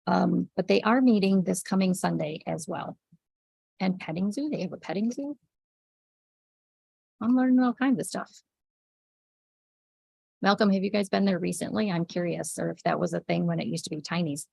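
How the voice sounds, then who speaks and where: A woman running a yarn shop livestream, chatting casually with viewers, sniffly and a little raspy from a cold. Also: lowish voice, normal speed, pauses often, a little mellow, dry recording, poor audio. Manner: chatty, reads out viewer comments by name, many ums. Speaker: yarn shop owner hosting a weekly livestream.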